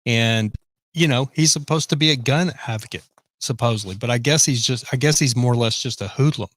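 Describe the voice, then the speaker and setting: A man's voice: medium pitch, fairly quick, fairly bright tone, dry recording. A man hosting a live podcast stream, chatting casually and reading viewer comments. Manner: rambling, reads viewer comments. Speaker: podcast host. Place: home office.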